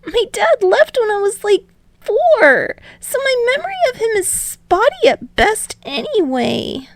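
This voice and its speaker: A woman's voice: very high-pitched, sing-song, unhurried, no echo, pitched above the performer's own voice, exaggerated voice. A woman voicing a frazzled, snarky teenage boy in an audiobook, whiny, indignant and sarcastic. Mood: stunned, overwhelmed. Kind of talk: audiobook character voice for fan fiction.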